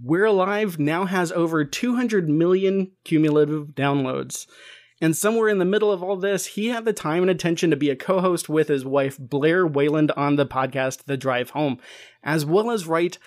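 A man's voice: on the high side, normal speed. A man hosting a podcast, reading a scripted introduction in an earnest, formal way. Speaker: podcast host and interviewer. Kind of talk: scripted introduction read aloud.

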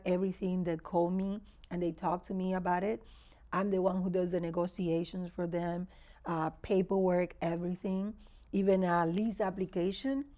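Middle-aged Dominican woman, slightly low voice. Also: warm tone. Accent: Dominican Spanish. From Dominican Republic. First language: Spanish. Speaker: guest, real estate agent. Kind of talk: conversational interview storytelling.

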